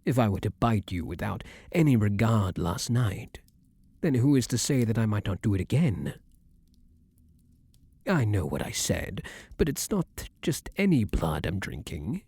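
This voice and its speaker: Breathy, lively-voiced vampire king played by a man. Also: on the low side, unhurried, no echo, studio quality, exaggerated voice. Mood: tormented craving. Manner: courtly, archaic, formal phrasing. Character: male vampire king, ardent, formal, possessive yet protective lover. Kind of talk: intimate ASMR roleplay acting.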